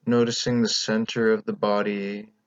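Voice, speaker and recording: A man leading a guided meditation as the teacher, speaking in a calm, gentle, unhurried way with pauses between instructions. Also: lowish voice, dry recording, muffled recording.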